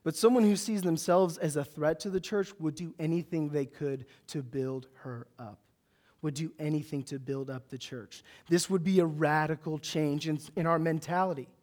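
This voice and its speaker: A man with a notably high voice delivers a sermon to his congregation. He is earnest and emphatic, stacking repeated phrases to drive each point home. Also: steady tone, normal speed, a little mellow. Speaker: pastor.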